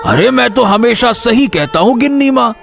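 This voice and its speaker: A man's voice: extremely high pitch, lively intonation, moderate pace, creaky, music in the background, full character voice. A man voicing a nosy, know-it-all household gossip in a cartoon story, prying and insinuating. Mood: smug, warning. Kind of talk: Hindi cartoon story acting.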